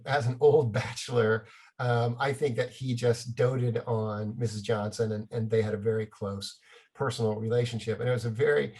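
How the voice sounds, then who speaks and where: A man telling personal anecdotes in conversation, halting and often interrupting himself as he goes. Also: medium pitch, a little mellow. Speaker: guest.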